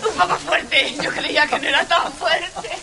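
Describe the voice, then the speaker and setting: An actress playing an exuberant, theatrical woman in a radio drama, given to breathy exclamation. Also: extremely high pitch. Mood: relieved, dreamy. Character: exuberant woman revelling in being hosed down. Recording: loud rushing water noise behind.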